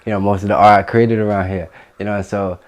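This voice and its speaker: A young man hosting a casual interview in a small studio, enthusiastic and quick with praise. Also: on the low side, level tone. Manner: enthusiastic, repeats praise like "that's dope". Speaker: host and videographer. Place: small studio room with art on walls, indoors.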